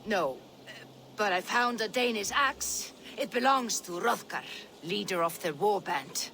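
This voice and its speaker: A woman's voice: mid-range voice, animated, unhurried, bright, forceful tone, a little hiss or hum. An actress voicing a wary, shaken Saxon peasant woman in a video game. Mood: wary, accusing. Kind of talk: dramatic video game acting.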